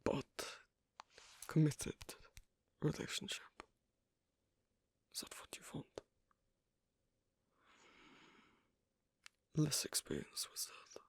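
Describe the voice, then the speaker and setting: Guy doing a gruff shy-flirty werewolf bf for asmr, raspy breathy whispering, kinda halting, super dry close sound. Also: a little high, level tone, dry recording, heavily acted. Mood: hesitant, softly teasing. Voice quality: breathy, husky, mostly whispered. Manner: hesitant, halting, teasing. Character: gruff, guarded, flirtatious asocial werewolf man on a first date. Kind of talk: ASMR roleplay, intimate one-sided dialogue.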